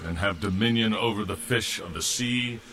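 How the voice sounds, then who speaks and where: A man playing a stern, commanding God in a Bible audio drama, speaking with measured, solemn authority. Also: on the low side, sharp tone, a little hiss or hum.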